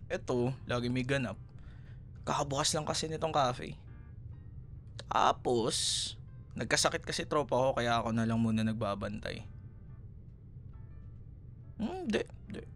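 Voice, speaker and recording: Man acting a teasing, lively young Filipino ex-boyfriend. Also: mid-range voice, gravelly, full character voice. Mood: casual, modest. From Philippines. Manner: teasing, chuckles often, Taglish code-switching. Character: playful, teasing young Filipino ex-boyfriend who runs a cafe. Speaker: roleplay voice performer. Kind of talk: ASMR boyfriend roleplay, casual conversational acting.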